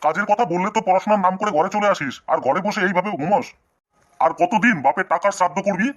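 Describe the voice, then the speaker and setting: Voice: mid-range voice, fast, muffled recording, close to an everyday voice. A performer voicing an angry village father in a comic cartoon, stern and berating.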